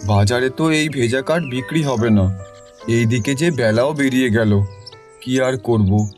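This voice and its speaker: A man's voice: medium pitch, unhurried, a little mellow, soft background music, natural voice. A man voicing a humble, honest young village son in a cartoon, earnest and polite. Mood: worried. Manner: earnest, pleading. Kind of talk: cartoon dialogue.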